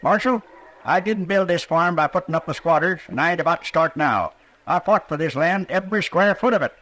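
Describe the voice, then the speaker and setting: An actor playing a stubborn, grumbling old hog farmer with a rural Western American accent in an old-time radio western. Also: male voice, on the high side, brisk, a bit bright, low-quality recording. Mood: scornful. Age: older. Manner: folksy, grumbling, combative.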